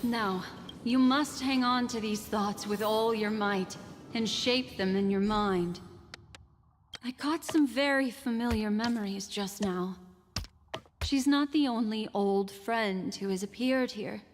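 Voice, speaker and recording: An actress playing a composed, elegant mystic guide in a video game, speaking in a measured, formal way. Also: female voice, on the high side, a little hiss or hum. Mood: urgent. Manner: measured, formal, deliberate phrasing. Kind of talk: dramatic video game voice acting. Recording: long hall reverb under game music.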